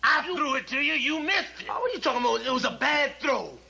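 A man's voice: very high-pitched, lively intonation, quick pace, creaky, pushed and bright, heavily acted. An actor playing a boastful, stubborn young baseball catcher in a 1970s sitcom, arguing and insisting he can do it. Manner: brash, argumentative.

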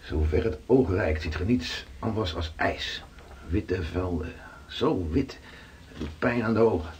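An actor playing a weathered seafarer in a radio drama, telling his adventures slowly and with awe in a rough voice. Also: low-pitched, a little mellow, slight background noise. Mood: awed, reflective. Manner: slow, dramatic storytelling. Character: weathered Dutch seafarer Gerrit, awed storyteller, around 1600.

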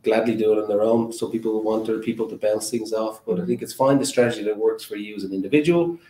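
Mellow male moderator. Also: lowish voice, steady tone, fast talker, slight background noise. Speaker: panel moderator. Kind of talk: conversational webinar moderation. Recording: roomy, echoey sound.

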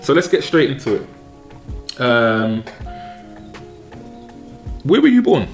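A man in his forties from London, hosting a casual podcast interview from his home kitchen on a desk mic, halting with many ums and repeated words. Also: mid-range voice, very animated, faint music behind. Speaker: podcast host. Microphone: desk mic on a boom arm.

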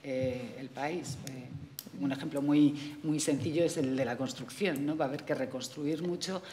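A middle-aged woman speaking on a conference panel about aid work, hesitant and pausing often. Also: a bass voice, dark tone. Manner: hesitant, many fillers and restarts. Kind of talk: panel discussion. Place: conference hall, indoors. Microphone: desk microphone. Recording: reverberant hall, faint room noise.

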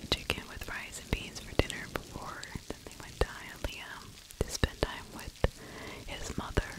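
Female, middle-aged, fully whispered ASMR narration, breathy and close-miked, conversational storyteller delivery. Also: rough recording. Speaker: ASMR true crime storyteller. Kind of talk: whispered ASMR narration of a true crime case. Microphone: close-up desktop microphone with fluffy windscreen.

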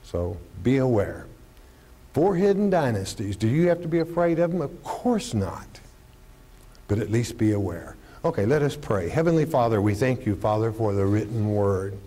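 Older man pastor on a lapel mic, warm, low-key tone, animated Bible teacher. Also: moderate pace. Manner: animated, gestures constantly while teaching; poses rhetorical questions and answers them. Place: studio stage with podium and curtain.

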